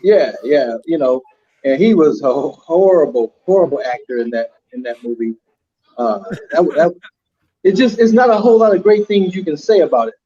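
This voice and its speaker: A man chatting casually on an online panel, halting and repeating words as he searches for them. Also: fairly high pitch, animated, warm tone, muffled recording. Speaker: panelist on an online video game show. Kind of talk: casual opinion chat.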